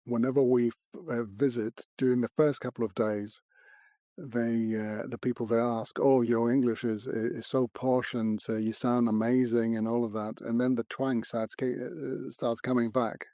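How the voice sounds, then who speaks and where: A man's voice: mid-range voice, little pitch movement, moderate pace, warm tone, dry recording, studio quality. A man, hosting a podcast interview and speaking hesitantly with many fillers. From Greece. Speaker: podcast host. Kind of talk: conversational interview.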